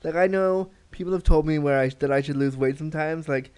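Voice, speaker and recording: A man's voice: on the high side, fairly quick, darkish tone, slight background noise. A man interviewing a guest, enthusiastic and empathetic, sometimes acting out inner thoughts in quotes. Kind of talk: conversational interview.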